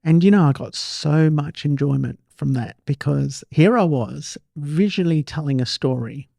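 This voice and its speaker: A man's voice: fairly high pitch, normal speed, creaky, mellow, no echo. A man hosting an interview show, chatting casually and telling anecdotes as he talks with his guest. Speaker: podcast host interviewing a guest.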